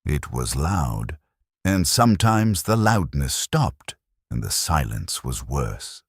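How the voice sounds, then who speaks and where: An extremely deep male voice, recorded very dry and close. An actor plays a weary imperial clerk looking back on a war, telling his story in a somber, measured way with plenty of movement in the pitch. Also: big pitch swings, breathy edge, no echo, heavily acted. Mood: somber, reflective. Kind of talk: scripted first-person story narration.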